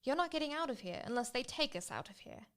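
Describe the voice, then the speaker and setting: A woman playing a sarcastic, short-tempered female prisoner in a tabletop roleplay, speaking in sardonic, deliberate phrases. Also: high-pitched, big pitch swings, a bit bright.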